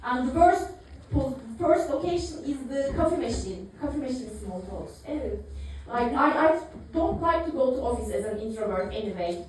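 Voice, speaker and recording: Lady in her 30s doing deadpan standup, lots of ums, echoey venue. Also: sits high, moderate pace, few pauses, slight background noise. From Turkey. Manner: dry, self-mocking, with many fillers and small laughs. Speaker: stand-up comedian. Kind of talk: stand-up routine. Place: live comedy venue, audience laughing. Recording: echoey room picked up from far off.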